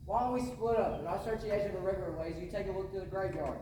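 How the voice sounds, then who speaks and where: A young man playing an urgent, bossy small-town searcher in a high school stage play, loud and commanding. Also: fast, noisy background, close to an everyday voice. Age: teenager or young adult. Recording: echoey reverberant stage recording from far off.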